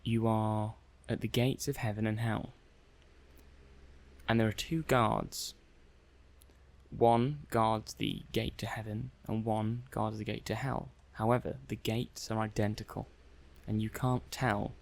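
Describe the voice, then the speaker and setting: Guy playing a robot lab tech for asmr, lowish croaky male voice, soft spoken, kinda flustered, chatty and musing to himself. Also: flat delivery, a little slow. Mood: explaining, intrigued. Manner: hesitant, musing aloud, conversational. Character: affable, slightly flustered robot-testing technician, adult man. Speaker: ASMR roleplayer.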